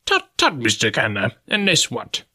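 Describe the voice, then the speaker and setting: A man's voice: mid-range voice, wildly swooping pitch, moderate pace, bright, forceful tone, breathy edge, dry recording, studio quality, exaggerated voice. A man voicing a fussy, courteous, anxious old professor in an audiobook story. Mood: flustered. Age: older.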